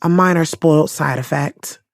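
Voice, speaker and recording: A woman's voice: deep voice, moderate pace, no echo, clean studio sound. A woman playing a sassy young writer, telling her life story frankly and conversationally in an audio drama, with some cursing. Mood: fond, confiding. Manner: frank, profane, confiding.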